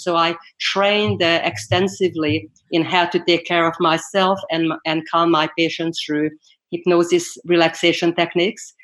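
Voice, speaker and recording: Woman, 60s, low voice, earnest guest with hesitations. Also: fairly bright tone, rough recording. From Hungary. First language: Hungarian. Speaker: guest dentist. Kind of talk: interview storytelling.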